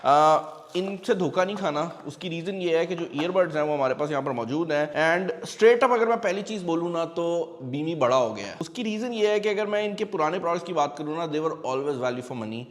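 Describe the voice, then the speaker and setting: A man's voice: fairly high pitch, animated, moderate pace. A man with a Pakistani accent hosting a tech product review, explaining things conversationally and dropping English phrases into his speech. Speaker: tech reviewer and channel host. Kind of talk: product review and unboxing, casual explaining to viewers. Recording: long reverberant room echo.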